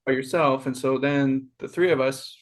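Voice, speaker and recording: A man in his fifties co-hosting a podcast, joking about himself while reminiscing about high school. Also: steady tone, normal speed. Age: 50s. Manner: self-deprecating, joking, wry. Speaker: podcast co-host. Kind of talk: casual reminiscing chat.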